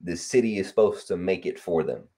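A young man from Los Angeles in casual chat on a video call, relaxed and rambling with lots of fillers. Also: low voice, fairly quick. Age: young adult. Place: home room on video call. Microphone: headset on video call.

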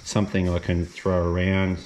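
A man's voice: low-pitched, monotone, normal speed, a little mellow, a little hiss or hum. A middle-aged Australian man casually walking through his fishing gear in a garage, rambling with lots of ums and restarts. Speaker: fishing vlogger. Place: garage or shed, indoors.

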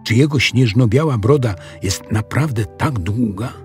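A man narrating a children's audiobook in an expressive storytelling style. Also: medium pitch, unhurried, soft background music.

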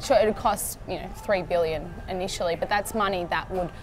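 Give me a chatty Australian woman with a lowish voice, hosting a political panel in a bar. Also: a little fast, background noise. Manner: chatty, asks pointed questions. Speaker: panel host. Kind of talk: casual political panel chat. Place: indoor bar-restaurant, seated at a small table.

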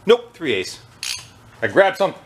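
A man's voice: a little high, normal speed, noisy background. A man hosting a small engine repair video, chatting casually as he works at his bench and sometimes turning away from the mic. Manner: relaxed, chatty, talks while working. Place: workshop with a bench and wire wheel.

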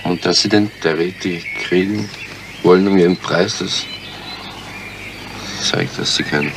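An actor playing a stubborn, sardonic criminal in a crime radio play, talking bluntly and guardedly. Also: male voice, low-pitched, a little slow. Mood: guarded, harried. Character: hard-boiled male criminal, stubborn, sardonic, bargaining with police. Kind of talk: crime radio drama acting. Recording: noisy old radio play.

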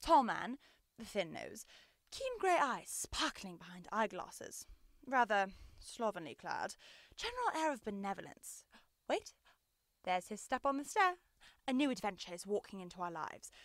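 A woman's voice: mid-range voice, big pitch swings, unhurried, dry recording, studio quality. A young woman playing a brilliant, self-assured male Victorian detective in a theatrical, precise radio drama style. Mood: keen, expectant. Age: young adult. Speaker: student actor.

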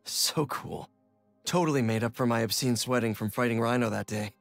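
A man's voice: mid-range voice, moderate pace, no room sound, faint music behind, close to an everyday voice. Male actor as young New York superhero, American accent, friendly, wry, conversational, mid-range voice, dry studio sound, game dialogue. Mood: musing. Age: young adult. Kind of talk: game voice acting, casual reflection.

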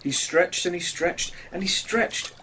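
A man's voice: sits high, measured pace, sharp tone, a little hiss or hum. A man in his thirties reading a children's storybook expressively, speaking simply to young listeners.

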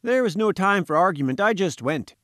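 A man's voice: high-pitched, lively intonation, fairly quick, a bit bright, dry recording, studio quality, pitched above the performer's own voice. A man voicing an indignant fat little newspaper reporter in a storybook, bleating and sputtering in protest. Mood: rueful, protesting.

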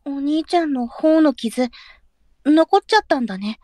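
A woman playing a hesitant, soft-spoken nineteen-year-old courtesan in a Japanese period audio drama. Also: high-pitched, animated, moderate pace, dry recording. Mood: hesitant, sad.

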